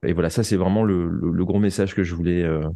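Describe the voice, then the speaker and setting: A man's voice: sits low, fairly even intonation, quick pace, mellow, dry recording. A man speaking as a writer in a reflective interview, searching for his phrasing and repeating words as he goes. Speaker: guest, writer of audio fiction.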